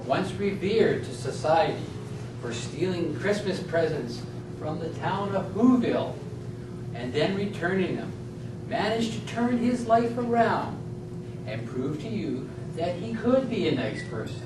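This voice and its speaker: A woman's voice: lowish voice, very animated, unhurried. A woman playing an emphatic, challenging defense lawyer in an amateur stage courtroom play. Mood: declamatory. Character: dramatic, challenging defense lawyer in mock trial. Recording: echoey distant room, noisy.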